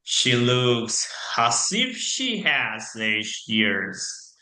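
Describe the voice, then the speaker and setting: Man, dramatic scripted narration, halting phrases, widely swinging intonation, roomy echo, theatrical storyteller. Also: mid-range voice, very animated, bright, forceful tone. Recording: echoey room, faint music.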